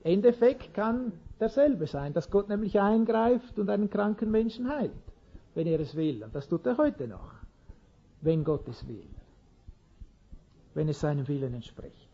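A man's voice: sits high, big pitch swings, a little slow, creaky, husky, muffled recording. A man preaching an informal sermon in an earnest way, chuckling now and then and restarting sentences.

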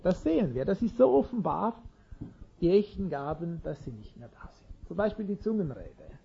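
A man's voice: high-pitched, sing-song, normal speed, vocal fry, slightly rough, muffled recording. A man preaching an informal sermon in an earnest way, chuckling now and then and restarting sentences.

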